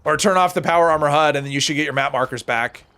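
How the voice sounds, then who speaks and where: Gravelly-voiced man chatting on a game stream. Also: a little high, quick pace, pushed and bright. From United States. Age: older than high school, adult. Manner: casual, chatty, reads and answers chat while playing. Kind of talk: live gameplay stream, chatting with viewers.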